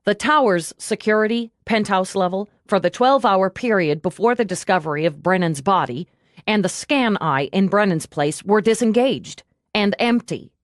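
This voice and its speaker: A woman's voice: medium pitch, animated, moderate pace, dry recording, lightly acted. A woman performs a loyal female police aide in a crime audiobook. She reports dutifully to her superior with a wry, cheeky edge. The sound is close and dry. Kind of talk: audiobook character dialogue.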